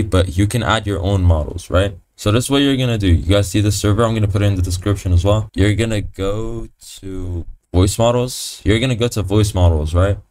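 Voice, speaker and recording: A young man with a fairly low voice walks through a software tutorial. He keeps it casual and slangy, swearing now and then. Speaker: tutorial presenter. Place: voice-over on a computer screen recording.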